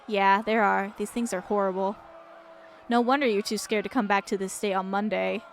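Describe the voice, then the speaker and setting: A woman's voice: medium pitch, steady tone, normal speed, a little hiss or hum, close to an everyday voice. A woman voicing a guarded, snappish young woman who is frightened and curt, in a visual novel. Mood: tense, irritated.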